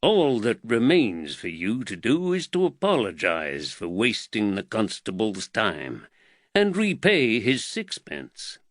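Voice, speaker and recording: A man voicing a courteous, calm husband with an American drawl and clear diction in a storybook. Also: fairly low pitch, a little slow, no room sound, studio quality. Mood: quietly firm. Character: calm, courteous, slight bespectacled foreign husband.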